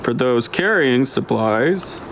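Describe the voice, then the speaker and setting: Guy doing a gravelly old squad boss, cautious n stern, gives orders, audiobook drama, bit of bg noise. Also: medium pitch, lively intonation. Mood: firm, briefing. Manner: commanding, deliberate instructions. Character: aged, authoritative male crew leader, stern and cautious.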